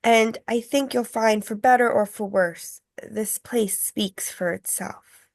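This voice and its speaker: A woman acting a polite, earnest tour guide, measured and explanatory, in a film script read. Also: on the high side, no room sound. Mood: solemn, earnest.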